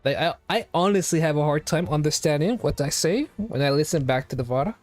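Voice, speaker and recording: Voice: mid-range voice, a little fast, a little mellow, soft background music. A live streamer chatting casually to viewers, rambling and firing off questions to chat. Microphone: home streaming microphone.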